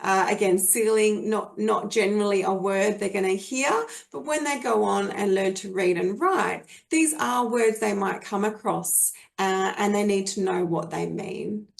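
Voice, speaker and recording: A woman's voice: mid-range voice, measured pace, creaky, few pauses. An Australian woman presenting a webinar on early literacy for parents, explaining things in a friendly way with many fillers and restarts.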